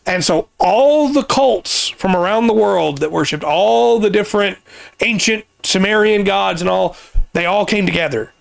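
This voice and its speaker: A man hosting a casual podcast, explaining things at length with pauses and restarts, his voice getting worn. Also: sits high, vocal fry, dry recording. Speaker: podcast host.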